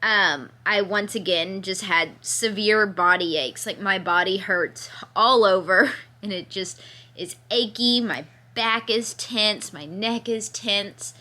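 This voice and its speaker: Vlogger, 20s, feverish and congested, light laughs, crisp bright tone, bedside camera. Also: female voice, medium pitch, slow. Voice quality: occasional cough, slightly stuffy nose. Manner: chatty, laughs through her complaints. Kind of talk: casual chat to camera. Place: in bed at home, bundled in a sweatshirt.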